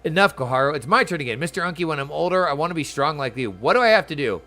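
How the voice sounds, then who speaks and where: A man voicing an eager, curious little child from a video game, excitable and pleading. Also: fairly high pitch, very fast.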